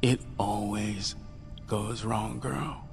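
A man voicing a weary, grim older uncle who works a gold mine, speaking low and grave in an audiobook. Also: steady tone, extremely slow, creaky, faint music behind, full character voice.

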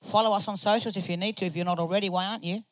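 Aussie panel speaker, loud and blunt, swears, lots of slang. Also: mid-range voice, steady tone, racing. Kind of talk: speaking at a community forum.

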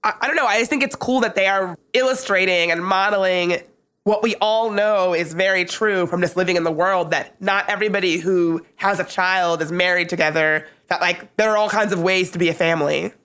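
Sniffly, raspy woman with a cold. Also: on the low side, fairly quick. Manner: animated, opinionated, rambling. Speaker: podcast co-host. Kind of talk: casual conversational podcast.

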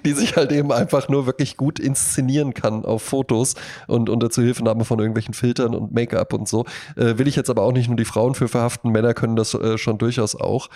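A man's voice: mid-range voice, flat delivery, fast talker, hardly any pauses, warm tone. A man chatting casually as a podcast co-host, joking and acting out little quotes as he explains things. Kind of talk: casual conversational chat.

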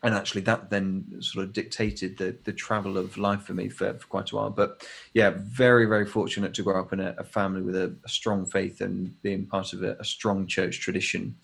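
Gravelly-voiced English man in his thirties. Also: sits low, level tone, brisk, fairly bright tone. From England. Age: 30s. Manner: thoughtful, with many fillers and restarts. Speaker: podcast guest. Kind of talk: casual conversation.

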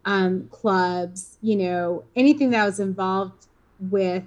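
A woman's voice: medium pitch, steady tone, takes it slowly, a little mellow, dull audio. Fifties woman, interview guest, rambling conversational delivery. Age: 50s. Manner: rambling, many fillers and restarts.

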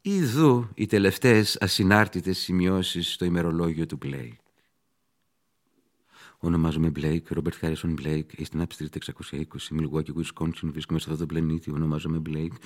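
An actor narrating a horror radio play in a grave, theatrical, deliberate manner over music. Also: male voice, a bass voice, fairly even intonation. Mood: haunted, incantatory. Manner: theatrical, deliberate, repeats phrases. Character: grave male horror narrator, later a raving doomed scholar. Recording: music behind the voice.

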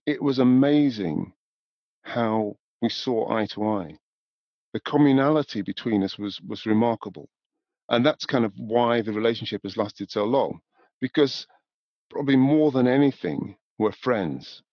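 An older man speaks reflectively in an interview. His delivery is measured and thoughtful, peppered with "you know", in a mid-pitched voice. The recording is dry and a little dull. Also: lively intonation, dry recording. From north of England, born in Newcastle. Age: 70s. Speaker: podcast guest, music industry professional.

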